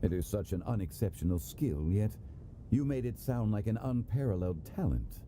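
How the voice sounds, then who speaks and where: Man as low-voiced dignified gentleman. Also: lively intonation, a little hiss or hum, natural voice. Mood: courteous, calm. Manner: formal, measured, polite. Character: composed, courteous, learned gentleman of great dignity. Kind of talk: video game voice acting. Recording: music behind the voice. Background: music.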